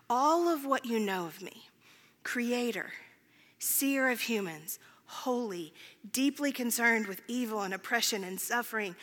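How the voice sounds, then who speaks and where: Bright-voiced woman preaching in a reverberant hall. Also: fairly high pitch. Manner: self-deprecating, informal. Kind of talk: conversational sermon. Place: large reverberant room, speaking to a congregation.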